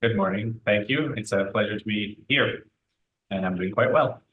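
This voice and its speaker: A man in his thirties from Italian-speaking Switzerland, telling his story as an interview guest in a measured, articulate way. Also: fairly low pitch, phone-quality sound. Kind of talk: conversational personal storytelling.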